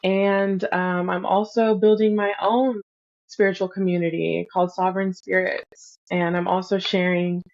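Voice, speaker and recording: Earnest low flat-voiced young woman. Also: normal speed, lo-fi sound. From Fresno, California. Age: young adult. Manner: earnest, many ums and soft restarts. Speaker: podcast guest. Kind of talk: reflective conversational storytelling.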